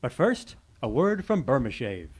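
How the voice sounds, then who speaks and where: A man's voice: mid-range voice, sing-song, unhurried. A man announcing an old-time radio show in a scripted, vintage announcer style.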